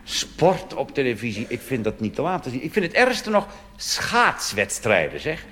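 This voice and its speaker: A man's voice: mid-range voice, sing-song, moderate pace, sharp tone, slight background noise. A Dutch man performing a chatty, wry cabaret monologue full of asides, recorded live on stage. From Netherlands. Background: audience laughter and applause.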